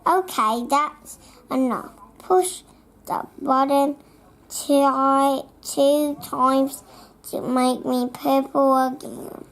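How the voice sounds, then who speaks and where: Child reader, high-pitched voice, very slow and halting delivery of a picture story. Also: fairly even intonation, a bit bright. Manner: halting reading, word by word, repeating phrases. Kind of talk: read-aloud of a children's picture book.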